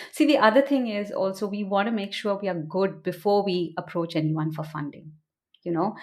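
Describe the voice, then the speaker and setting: A woman from India with an Indian accent, answering interview questions as an audio producer in a conversational, explanatory way, often adding "you know". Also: medium pitch.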